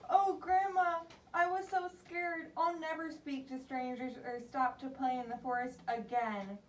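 Woman acting a sweet fairy-tale girl, childlike, earnest, raised pitch, distant roomy sound. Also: steady tone, unhurried, a little hiss or hum. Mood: shaken. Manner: earnest, wide-eyed, childlike. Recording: distant, echoey room.

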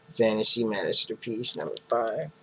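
A man in his thirties, sitting at a webcam in a small bedroom, slowly listing off comic books he won at auction. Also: fairly low pitch, a bit bright, a little hiss or hum. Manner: slow, plain listing of items. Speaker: comic collector vlogger. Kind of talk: casual show-and-tell vlog. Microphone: webcam at close range.

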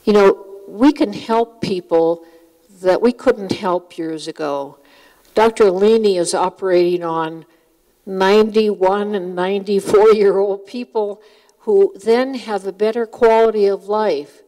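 A woman's voice: medium pitch, steady tone, unhurried, mellow. A woman on a stage with a handheld mic, introducing a speaker to an audience in a large hall, casual and with a few fillers. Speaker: event host introducing speaker. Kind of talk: spoken introduction. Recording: long hall reverb.

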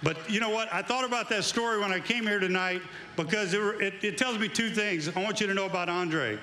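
A man's voice: high-pitched, brisk, sharp tone, echoey room, a little hiss or hum. A middle-aged man, a former coach, giving an induction speech at an outdoor awards event, telling folksy stories into a handheld mic. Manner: folksy storytelling, building to emphatic tribute.

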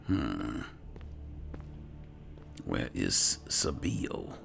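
Male gameplay roleplayer: slow, hesitant musing in a heavily fried voice, lively pitch swings, music underneath. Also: vocal fry. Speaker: gaming host roleplaying his character.

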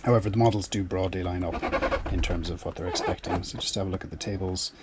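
A man's voice: deep voice, steady tone, fast talker, heavy background noise. A solo sailor, a man, explains his plans in a casual, methodical way, thinking aloud and often repeating words, from a small boat. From Ireland. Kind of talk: casual explanatory vlog narration. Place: small boat cabin and engine bay.

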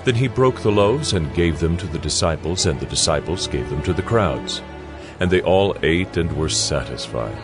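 Deep-voiced male scripture narrator. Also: animated, moderate pace. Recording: music bed behind voice.

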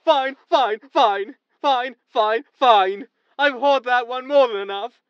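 A woman's voice: a little high, slow, clear, resonant tone, no room sound, dull audio. A woman playing a slick, cynical tabloid media boss in a sci-fi comedy audio drama, with a sardonic, theatrical manner. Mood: exasperated, bitter. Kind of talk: sitcom audio drama acting.

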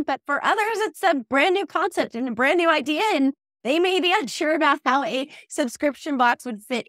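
A young woman hosting a podcast interview, warmly enthusiastic and upbeat. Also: high voice, no echo, studio quality. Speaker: podcast host. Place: bright indoor room. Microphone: headset and studio mic.

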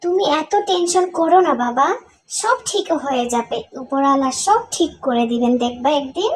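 A woman's voice: sits high, animated, moderate pace. A woman voicing the caring, responsible elder daughter of a poor farmer in a cartoon, in a soothing, motherly manner. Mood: reassuring. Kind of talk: cartoon voice acting.

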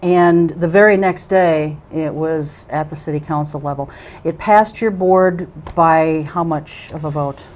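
A woman's voice: low-pitched, a little slow, darkish tone. A middle-aged woman hosting a local cable talk show from a small studio, introducing guests with many ums and restarts. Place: small TV studio with curtain backdrop. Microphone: lapel mic.

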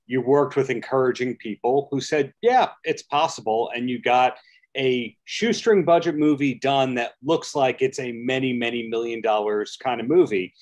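A man's voice: mid-range voice, moderate pace. A man hosting a roundtable interview over a video call, steering questions to each guest in turn. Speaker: podcast host.

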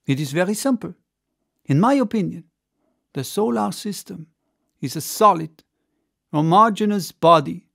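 Storybook orator, male, acted by a male reader: bold, grandiloquent, emphatic delivery, highish and creaky. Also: expressive, unhurried, long pauses, a bit bright, exaggerated voice. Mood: grand, emphatic. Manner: declamatory, emphatic.

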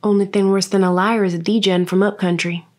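A woman's voice: mid-range voice, little pitch movement, fairly quick, darkish tone, lightly acted. An actress playing a cool, blunt young small-town woman in a comedy script reading. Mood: wry. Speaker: actor in a script table read.

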